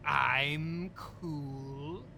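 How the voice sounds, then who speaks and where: A man's voice: on the high side, fairly even intonation, very slow, vocal fry, sharp tone, a little hiss or hum, exaggerated voice. A man playing a petty, insecure villain in an improvised comedy audio drama, theatrical and overeager but easily flustered as he pushes his riddle game. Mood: showy riddling.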